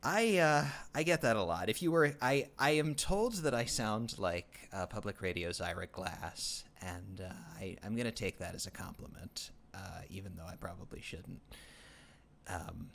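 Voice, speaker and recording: A man hosting his own livestream, chatting casually while he plays, rambling and halting with many fillers and restarts and a dry, self-deprecating humor. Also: deep voice, animated, vocal fry, a bit bright. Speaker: livestream host. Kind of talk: casual gaming stream chat.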